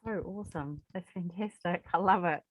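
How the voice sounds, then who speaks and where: A New woman hosts a casual interview in a fairly low voice. The sound is dry and close, and her manner is effusive and full of encouragement. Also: moderate pace, dry recording.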